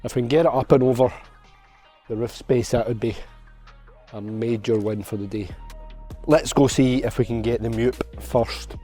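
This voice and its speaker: A man's voice: medium pitch, fairly even intonation, normal speed, long pauses, mellow, soft background music. A young man with a sore, fading voice, chatting casually to a handheld camera as a vlog host in a large warehouse. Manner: chatty, upbeat, talks while working. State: hoarse, losing his voice. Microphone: handheld camera at arm's length.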